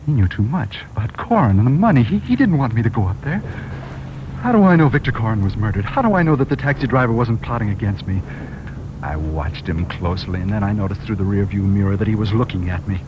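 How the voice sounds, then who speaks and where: A man's voice: fairly low pitch, animated, quick pace, lightly acted. An actor playing a guilty, paranoid schemer in a vintage radio noir, brooding and hesitant as he confesses in tense, dramatic phrases. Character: guilty, paranoid male schemer haunted by murder plot. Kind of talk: 1940s-50s radio noir, first-person confessional narration. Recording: muffled old radio recording, rain noise.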